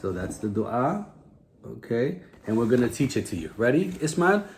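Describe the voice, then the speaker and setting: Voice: mid-range voice, big pitch swings, normal speed. A religious teacher giving children a lesson in simple phrases, asking questions and prompting them to repeat. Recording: clear background noise.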